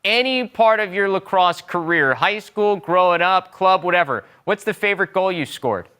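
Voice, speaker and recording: Bright, smooth-voiced male sports show host. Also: sits high, lively intonation, moderate pace. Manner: upbeat, conversational questioning. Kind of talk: sports talk show hosting.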